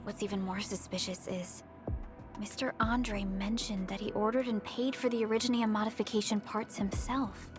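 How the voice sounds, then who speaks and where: A woman playing a composed, formal young team leader in a video game, measured and earnest. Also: mid-range voice, fairly even intonation, over music, natural voice. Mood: thoughtful.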